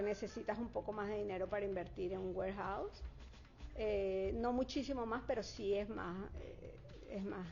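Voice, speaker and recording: A woman's voice: medium pitch, creaky, a little mellow. A woman working as a real estate consultant, answering interview questions fluently with frequent fillers and restarts. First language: Spanish. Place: Florida. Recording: dull lo-fi sound.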